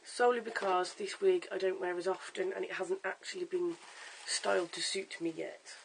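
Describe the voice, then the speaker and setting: Croaky, hoarse voice with gravelly fry. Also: mid-range voice, fairly even intonation, moderate pace, a little hiss or hum, rough recording. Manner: chatty, stop-start with fillers and self-corrections. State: hoarse, lost voice. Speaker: wig tutorial vlogger. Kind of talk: casual how-to demonstration. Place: at home in front of a camera.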